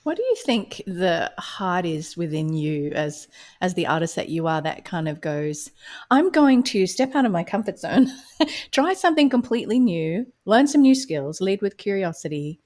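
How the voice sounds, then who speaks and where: Woman, podcast interviewer, lowish pitch, conversational questioning. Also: big pitch swings, normal speed, darkish tone.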